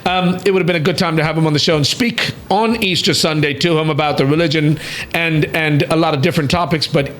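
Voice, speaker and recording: A man's voice: on the high side, quick pace, fairly bright tone. A man hosting a talk show in a loose, effusive way, often repeating himself. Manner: effusive, rambling, repeats words.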